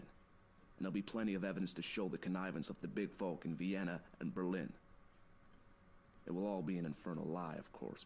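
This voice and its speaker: A man's voice: low-pitched, a little mellow, slight background noise. An actor playing an urgent, conspiratorial American man on the run in a 1914 thriller game, grave and emphatic. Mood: grim, resolute. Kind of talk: dramatic game voice acting, monologue.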